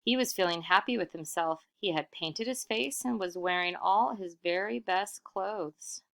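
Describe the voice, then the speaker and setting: A woman reading a children's picture book aloud expressively, pausing for casual comments and questions. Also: medium pitch, creaky, fairly bright tone. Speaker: storybook reader.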